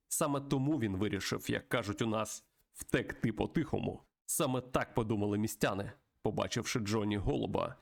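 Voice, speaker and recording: A man playing a dramatic storyteller, reading western game narration aloud in a mock-epic, deliberate manner. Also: fairly low pitch, vocal fry.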